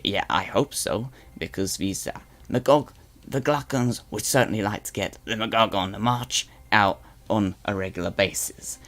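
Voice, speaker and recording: A man's voice: fairly low pitch, normal speed, fairly bright tone, natural voice. A man voicing a boastful male Slig guard turned propaganda newscaster, with a weird put-on accent and a rambling, stammering way of talking. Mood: blustery. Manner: blustery, rambling with stammered restarts. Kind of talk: in-character live stream chat roleplay.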